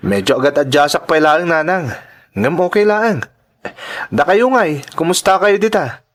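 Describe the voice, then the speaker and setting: An actor playing an earnest young male migrant worker, gentle with family and defensive with rivals. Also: a little high, expressive, normal speed, close to an everyday voice. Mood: affectionate. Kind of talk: Ilocano audio drama acting.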